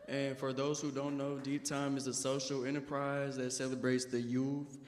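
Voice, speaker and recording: An eighteen-year-old man from North Carolina introducing himself on a panel, steady and a little hesitant. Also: mid-range voice, normal speed, long reverb tail, a little hiss or hum. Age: teens. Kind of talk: self-introduction.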